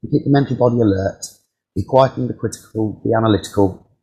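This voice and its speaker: A man leading a guided meditation as a teacher, giving calm, soothing instructions with frequent pauses. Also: fairly low pitch, vocal fry, poor audio.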